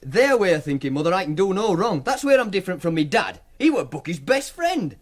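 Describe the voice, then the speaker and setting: A young working-class lad from a radio play, with a Northern English accent. His voice is high for a man and swings freely in pitch. He sounds defiant and sure of himself. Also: very animated, a little fast. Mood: defensive, insistent. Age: young adult. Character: defiant, self-assured young working-class university student and gambler. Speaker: actor. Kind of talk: naturalistic radio drama acting.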